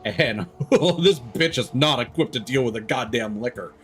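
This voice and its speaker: A man's voice: mid-range voice, animated, unhurried, a bit bright, faint music behind. A man giving casual, opinionated, sweary commentary as he streams a game live.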